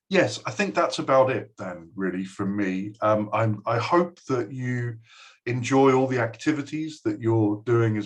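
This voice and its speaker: A middle-aged man giving a recorded address to a school, talking hesitantly with many ums and restarts. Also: fairly low pitch. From United Kingdom. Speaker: guest speaker addressing a school.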